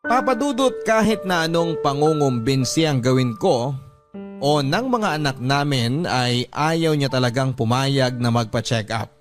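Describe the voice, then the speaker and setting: A man's voice: mid-range voice, expressive, measured pace, close to an everyday voice. Man as earnest husband narrating over music. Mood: worried. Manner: earnest, heartfelt. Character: devoted, worried husband narrating his letter to host. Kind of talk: scripted dramatic narration of a letter. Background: background music.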